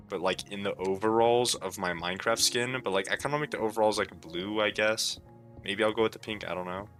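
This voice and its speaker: A tired young man with a sore throat, rambling laid-back and low on a chill stream, with plenty of "like" fillers. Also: sharp tone. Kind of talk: casual rambling stream talk.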